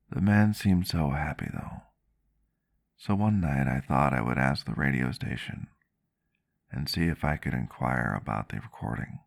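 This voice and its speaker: A man playing a reflective, gentle vampire doctor who tells a story in measured, old-fashioned phrasing for an ASMR roleplay. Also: rumbling low, gravelly, exaggerated voice. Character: male vampire doctor, ageless but youthful-seeming, reflective and gentle. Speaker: roleplay character telling a story.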